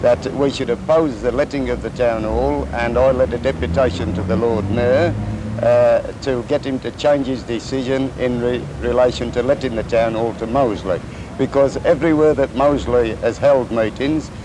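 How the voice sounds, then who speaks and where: A man speaking as a union council president, giving deliberate, formal interview answers. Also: mid-range voice. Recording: noisy 1950s archive film sound.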